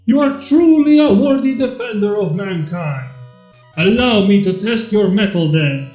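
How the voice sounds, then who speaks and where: Man voicing a pompous, booming theatrical robot villain. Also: expressive, unhurried, darkish tone, reverberant. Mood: grand, admiring. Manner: pompous, declamatory Shakespearean delivery. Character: theatrical male robot villain, grandiose, honourable, archaic duelist. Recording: dull lo-fi with background music.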